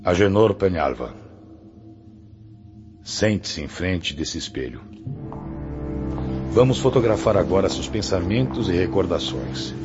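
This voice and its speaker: A male actor with a Brazilian accent playing a stern, pious spirit mentor in a radio drama, preaching in a solemn, measured way. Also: sits low, expressive. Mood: solemn, instructing. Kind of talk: radio drama acting in Portuguese. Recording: dull lo-fi audio with loud music.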